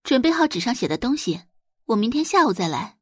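A woman narrator puts on a cool, self-possessed young female healer in an audio drama. The delivery is polite, refined and matter-of-fact, in a soft-grained husky voice with a close, dry sound. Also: a little high, very animated, brisk, no room sound. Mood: calm, instructing. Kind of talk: Chinese audiobook drama dialogue.

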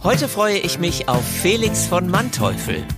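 A man's voice: high voice, huge pitch swings, unhurried, over loud music. A man hosting an audiobook podcast, presenting and interviewing guests. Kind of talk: scripted introduction and interview.

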